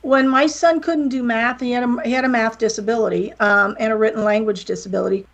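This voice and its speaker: A middle-aged woman from West Virginia speaks with a slight Southern accent, her voice pitched a little high with a gravelly fry. She talks candidly, wandering through her stories with self-deprecating jokes. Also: fairly even intonation, fast. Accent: slight Southern American. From West Virginia, USA. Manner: candid, rambling, jokey. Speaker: guest, wrestling promoter and author. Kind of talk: casual podcast interview.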